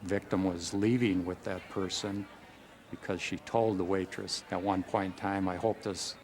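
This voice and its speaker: A man's voice: sits low, steady tone, moderate pace, slight background noise. A man with an American accent, a police detective recalling a case in an interview. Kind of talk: interview recollection.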